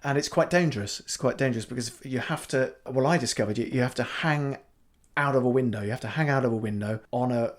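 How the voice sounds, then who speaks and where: A man improvising a fake life story as a comedy podcast guest, rambling in a deadpan way with many restarts. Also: mid-range voice, fast. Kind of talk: improvised comic interview.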